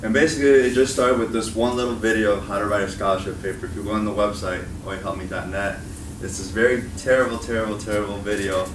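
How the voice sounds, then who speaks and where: A young man with a Chicago accent, in a slightly low voice, talks casually to a room of students and keeps tagging his points with "right?". He is picked up from across an echoey room, and steady noise runs underneath his voice. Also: quick pace. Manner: conversational, often tags points with "right?". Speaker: guest speaker. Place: meeting room at a college. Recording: echoey room from far off, noisy.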